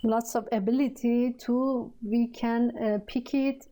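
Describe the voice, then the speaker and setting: A woman with a Persian accent, a native Persian speaker, teaching a coding lesson in halting, hesitant speech full of fillers. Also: fairly high pitch, fairly even intonation, mellow. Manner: halting, searching for words, many fillers. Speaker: programming tutor. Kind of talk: screen-recorded coding tutorial.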